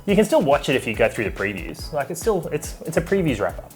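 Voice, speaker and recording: A man co-hosting a casual chat, talking in a playful, chatty way, his voice rough from a cold. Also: on the high side, very animated, quick pace, darkish tone, soft background music. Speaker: co-host.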